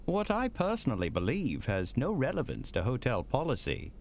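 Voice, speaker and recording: Prim, hugely animated hotel manager. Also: male voice, medium pitch, moderate pace, a little hiss or hum. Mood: apologetic, defensive. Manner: formal, prim. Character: prim, defensive luxury hotel manager, formal and evasive. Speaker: actor. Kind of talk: audio drama acting.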